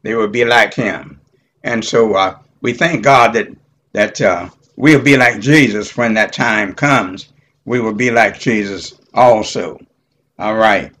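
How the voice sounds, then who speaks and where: An elderly man teaching Bible study in a halting, deliberate way, punctuated with amens. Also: fairly low pitch, a bit bright, a little hiss or hum. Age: 70s or older. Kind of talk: informal Bible teaching with scripture reading.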